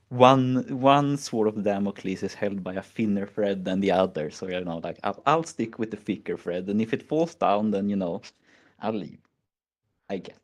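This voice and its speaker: Swedish man, very deep voice, casual stream chat, rambling, mocking. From Sweden. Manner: rambling, mocking, frequent "like" and "you know".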